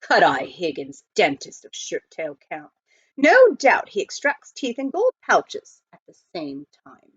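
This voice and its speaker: A woman's voice: on the low side, huge pitch swings, measured pace, slightly rough, full character voice. A woman voicing a hearty, proper male adventurer in a storybook, with emphatic declarations. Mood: indignant. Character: hearty, proper adult man, gold-prospecting adventurer. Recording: dull lo-fi close recording.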